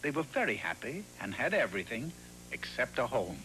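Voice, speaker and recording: A man's voice: medium pitch, sing-song, brisk, low-quality recording. A man narrating a scripted fairy tale for a cartoon as a storyteller.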